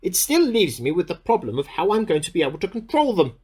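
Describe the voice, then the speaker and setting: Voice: medium pitch, very animated, quick pace, a little mellow, breathy edge. An actor in a radio play playing a bemused amateur scientist who keeps a spoken diary, musing aloud in an earnest, slightly formal and self-questioning way. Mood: suspicious, resolute. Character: bemused amateur scientist keeping an audio diary, increasingly suspicious.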